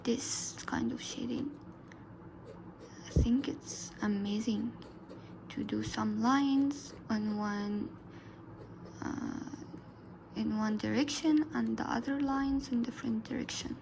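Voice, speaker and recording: A woman whose first language is Arabic, narrating a drawing tutorial softly, often dropping into a whisper. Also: a little high, takes it slowly, gravelly, long pauses, noisy background. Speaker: art tutor.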